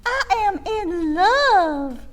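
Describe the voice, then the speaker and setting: A woman's voice: extremely high pitch, very animated, very slow, pitched above the performer's own voice, full character voice. A woman voicing a lovestruck young daughter, gushing and swooning.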